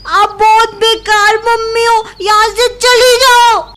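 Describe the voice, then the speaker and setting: A woman's voice: very high-pitched, little pitch movement, slow, fairly bright tone, heavily acted. A woman voicing a small, plaintive girl bird in a cartoon story. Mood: upset. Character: little girl bird, daughter, tearful and pleading.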